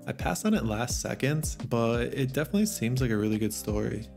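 A man's voice: medium pitch, very animated, brisk, gravelly, soft background music. A man narrating a casual vlog about the books he picked up, chatty and enthusiastic, with a voice that is a little worn. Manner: chatty, enthusiastic, laughs softly. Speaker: manga vlogger.

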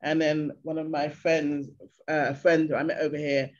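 A woman's voice: low voice, moderate pace. Older woman chatting on a video call. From England. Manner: animated storyteller, acts out voices of locals. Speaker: interview guest. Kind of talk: casual chat. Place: bright room at home. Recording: dull lo-fi video call audio.

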